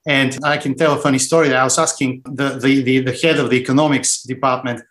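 A middle-aged man from Bulgaria talks through his career as a podcast guest. He speaks thoughtfully, often restarting phrases and reaching for words. His voice is mid-pitched. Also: steady tone, fast talker, a bit bright. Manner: thoughtful, with frequent restarts and fillers. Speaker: guest, finance executive. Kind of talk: conversational interview answers.

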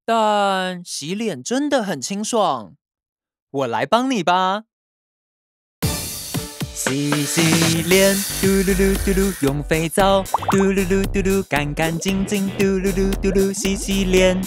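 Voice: medium pitch, slow, close to an everyday voice. An actor playing a caring, cheerful father shark in a Taiwanese Mandarin children's cartoon, playful and coaxing with exaggerated delivery. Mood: singing cheerfully. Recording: children's music behind voice.

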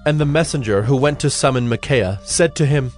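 Male narrator, scripted Bible reading, middle pitch, formal, measured, music behind.

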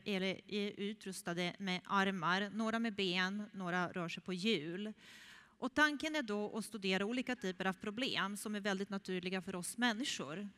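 A middle-aged woman, a professor, speaks unscripted from a big stage with a headset mic and fills her pauses with frequent "eh" sounds. Her voice sits at a mid pitch, and a long reverb hangs in the large hall. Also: sharp tone, smooth. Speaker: robotics professor, keynote speaker. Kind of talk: keynote talk. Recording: echoey hall.

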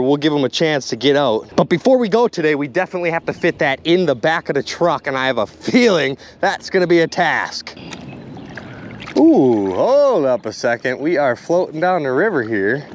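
A young man vlogging outdoors by a river, exclaiming excitedly to his viewers as he goes, recorded on a body-mounted action camera. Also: fairly high pitch. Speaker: magnet fishing vlogger. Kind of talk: casual excited vlog narration.

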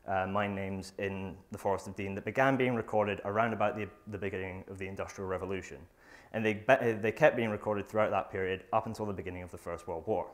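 A young man presenting his research in a lecture theatre, reading from notes with a lapel mic, often pausing with fillers and restarting phrases. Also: fairly low pitch. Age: young adult. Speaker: student presenter. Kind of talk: academic conference talk.

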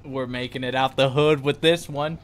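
A man's voice: mid-range voice, steady tone, moderate pace. A young man streaming a horror game from his bedroom, chatting casually with friends and laughing often. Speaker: gaming streamer. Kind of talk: casual gaming chat.

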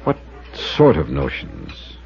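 A man's voice: a bass voice, wildly swooping pitch, slow pace, a little mellow, heavily acted. A man acting as a cool, probing insurance investigator in a 1950s radio drama, with clipped, dramatic delivery as he questions people and narrates. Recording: muffled 1950s radio recording with music.

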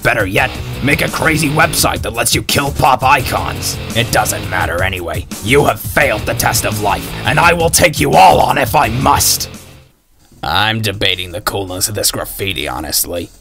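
A man's voice: fairly low pitch, expressive, normal speed, creaky, over music. A man voicing a snarky, foul-mouthed ginger schoolboy gunslinger in a video game, cocky and slangy yet caring. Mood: scornful, threatening, then sardonic. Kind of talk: video game character voice acting.

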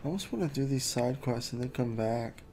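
A man's voice: mid-range voice, a little fast, a little hiss or hum. A man giving casual, chatty commentary while playing a video game. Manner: relaxed, chatty, reacting to the game. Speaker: gameplay streamer.

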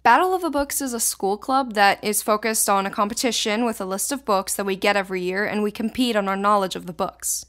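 A woman's voice: medium pitch, fairly quick, few pauses, a bit bright. A teenage girl from Israel, a native Hebrew speaker, answering interview questions in casual, thoughtful sentences. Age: teens.

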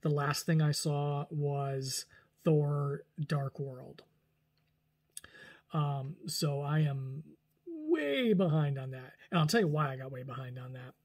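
A man's voice: fairly high pitch, slow. Rambling man in his forties. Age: 40s. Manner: rambling, animated, lots of restarts and hand gestures. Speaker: vlogger. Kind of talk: casual talking-head vlog. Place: small room indoors at home.

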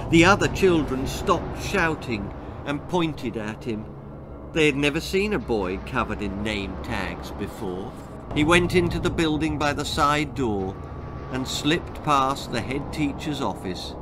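An older man, an author reading a spooky, comic children's story aloud in a theatrical, deliberate way, drawing out key words. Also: medium pitch. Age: older, about 60s or 70s. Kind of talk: dramatic children's horror-comedy storytelling. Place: book-lined room indoors. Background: background music.

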